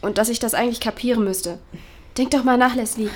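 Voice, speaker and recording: Young grieving daughter, played by an actress, slightly high voice, insistent, colloquial radio-play delivery over clear background noise. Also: female voice, fast, close to an everyday voice. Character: grieving, searching young daughter with exceptional hearing. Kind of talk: naturalistic audio drama dialogue.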